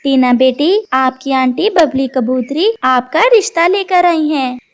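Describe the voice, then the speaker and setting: A woman voicing a worried, gently scolding mother bird in a children's cartoon, with a concerned, admonishing manner. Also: sits high, a little slow.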